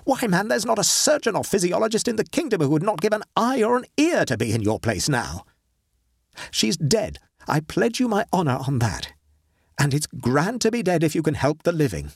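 A man voicing an eager, ruthless male scientist who talks in an urgent, excited way, raising his voice higher than his narration. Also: normal speed, vocal fry, slightly rough, dry recording, clean studio sound, full character voice.

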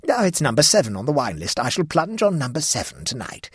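A man's voice: medium pitch, wildly swooping pitch, quick pace, husky, no echo, studio quality, pitched above the performer's own voice. A man voicing a cheerful, self-assured old aunt who has taken up gambling. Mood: breezy.

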